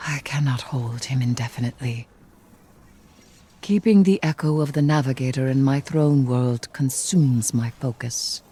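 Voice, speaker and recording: A woman playing a grim, vengeful sorceress queen in a video game, speaking in a solemn, deliberate, imperious way. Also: extremely low pitch, slightly rough, full character voice. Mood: grave triumph. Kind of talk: dramatic video game cutscene acting. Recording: loud music under the voice.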